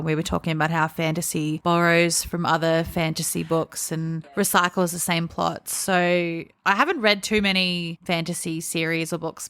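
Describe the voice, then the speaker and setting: Woman w/ lowish voice chatting on a book podcast, blunt and analytical, super informal. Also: fairly bright tone. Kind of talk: casual book discussion chat.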